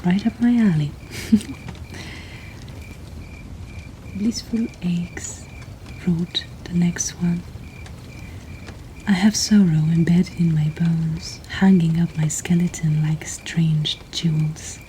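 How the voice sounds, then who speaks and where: A woman whispers in a breathy, soothing and intimate way, hosting and reading poetry in an ASMR style. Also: fairly low pitch, steady tone, slow, mellow, slight background noise, low-quality recording. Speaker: host of a poetry reading series.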